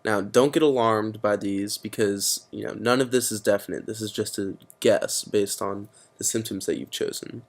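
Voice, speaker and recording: A man's voice: fairly low pitch, normal speed, hardly any pauses. A teenage boy presenting a casual computer tutorial, explaining steps with frequent fillers. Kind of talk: screen-recorded computer tutorial.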